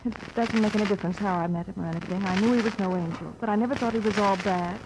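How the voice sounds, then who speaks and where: An American actress playing an earnest, frightened young murder suspect in an old-time radio drama, halting and pleading, on a noisy vintage recording. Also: female voice, mid-range voice, lightly acted. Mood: anxious, earnest. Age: young adult. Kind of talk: 1940s-50s American radio drama acting.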